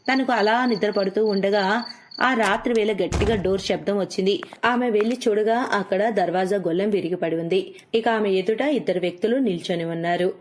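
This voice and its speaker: A woman's voice: mid-range voice, slight background noise. A woman narrating a cartoon moral story in a scripted storytelling style.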